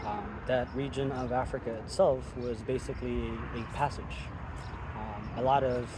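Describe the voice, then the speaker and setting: Thoughtful man from Ethiopia, traffic behind. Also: mid-range voice. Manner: thoughtful, measured, with frequent ums. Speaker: chef. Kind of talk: casual interview chat outdoors. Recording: outdoor recording with clear traffic noise.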